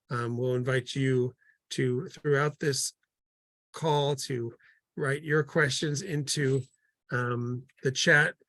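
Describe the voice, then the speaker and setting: A man's voice: medium pitch, fairly bright tone, no room sound. A man moderating a panel on a video call, welcoming the guests with frequent fillers and repeated words.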